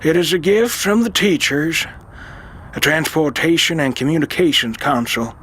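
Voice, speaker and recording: A man acting a frail, devout old uncle in an audio drama, solemn and deliberate but fond and commanding. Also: on the high side, a bit bright, a little hiss or hum, natural voice. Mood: weary, fond.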